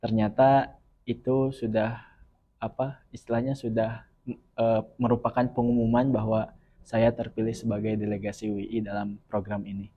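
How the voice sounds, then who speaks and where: A young man from West Java, explaining earnestly and at a measured pace as a student guest on a podcast. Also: lowish voice, level tone, dull audio. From West Java, Indonesia. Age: young adult. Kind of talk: podcast interview.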